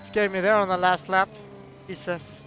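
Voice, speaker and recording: A man from Norway with a Norwegian accent, not a native English speaker, giving chatty, excitable commentary on a sim race. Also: high voice, moderate pace, faint music behind. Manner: chatty, excitable, laughs often.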